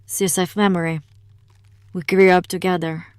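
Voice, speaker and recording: Actress as a low, smooth-voiced occult scholar woman. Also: level tone, moderate pace, dry recording, lightly acted. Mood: subdued, confiding. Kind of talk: audio drama acting.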